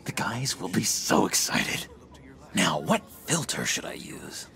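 An actor playing an eager, unhinged young schemer in a video game, halting and wheedling with sinister warmth. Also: male voice, sits low, wildly swooping pitch, vocal fry, exaggerated voice. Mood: gleeful. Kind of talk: video game character acting.

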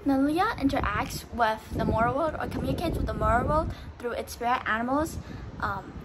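A teenage girl from Hong Kong, presenting a school project in a chatty, energetic way with lots of filler words. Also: fairly high pitch, background noise. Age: teens. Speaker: student presenter. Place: indoor room and school corridor. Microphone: phone camera at arm's length.

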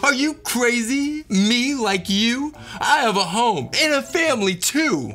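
A man's voice: very high-pitched, sing-song, takes it slowly, pushed and bright, faint music behind, pitched above the performer's own voice, exaggerated voice. A man voicing a bossy, scornful little boy in a children's storybook read-aloud, taunting with exaggeration. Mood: scornful, indignant.

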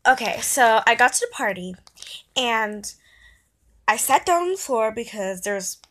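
A young girl, a child or young teen, chatting casually and telling a story in an animated, dramatic way into a phone held at arm's length. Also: a little high, measured pace. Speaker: vlogger. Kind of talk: casual storytime vlog chat.

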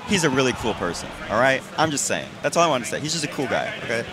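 A man's voice: medium pitch, very animated, quick pace. A man giving live, conversational fighting game commentary, repeating phrases as he works out his thought. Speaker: esports commentator. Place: convention center esports event. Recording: busy event hall crowd behind.

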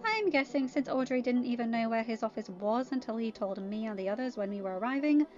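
A sardonic teenage girl from a story, read aloud by a woman. She is dry and scoffing, and her voice sits fairly high. Faint music plays under a slightly dull recording. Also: lively intonation, brisk, darkish tone, lightly acted. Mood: exasperated.

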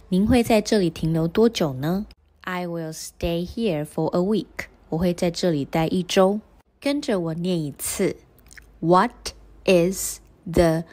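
Slow, low-voiced woman teacher. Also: darkish tone. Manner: reads both sides of short dialogues, then repeats them slowly for learners. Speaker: English teacher. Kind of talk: slow English phrase drills with Mandarin translations.

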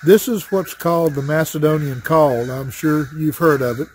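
An older man teaching a Bible study lesson outdoors in a wooded yard, talking in a plain, folksy way with frequent pauses, recorded on a camera at chest height. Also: on the high side, a little hiss or hum. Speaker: associate pastor teaching Sunday school. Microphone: webcam or phone framed at chest height. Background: crows cawing.

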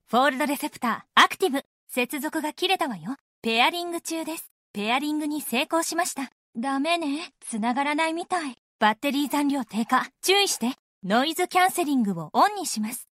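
A woman voicing a gentle, dependable young idol group leader, polite and composed, in anime-style prompts. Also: high voice, no echo, clean studio sound.

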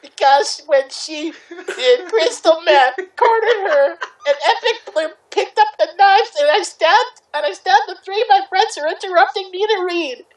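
A woman's voice: very high voice, expressive, normal speed, poor audio. A woman reading a story aloud among friends in an amateur, playful and somewhat hesitant way. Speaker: participant in a group fanfic reading.

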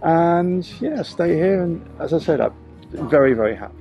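A man in his forties, a sailing vlogger, chatting casually outdoors in a relaxed, rambling way. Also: on the high side, normal speed, lo-fi sound, soft background music. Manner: relaxed, rambling, thinks aloud with restarts. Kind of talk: casual chat. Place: outdoors on a boat and a rocky shore.

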